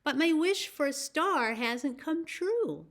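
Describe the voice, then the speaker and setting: Woman voicing a high, wistful little apple tree. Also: animated, slow, full character voice. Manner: innocent, wishful.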